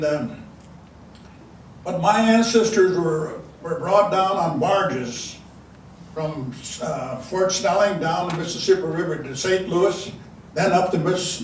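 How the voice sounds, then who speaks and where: An older man from South Dakota giving a folksy storytelling talk into a handheld mic in a large meeting room, halting and restarting often. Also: a little high, recorded from a distance. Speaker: guest speaker. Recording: echoey room, mic from afar, faint hiss.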